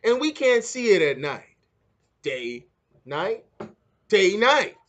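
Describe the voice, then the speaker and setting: A man's voice: high voice, big pitch swings, takes it slowly, fairly bright tone, pitched above the performer's own voice, full character voice. A man voicing curious, eager young schoolchildren in a storybook, with simple exclaiming delivery.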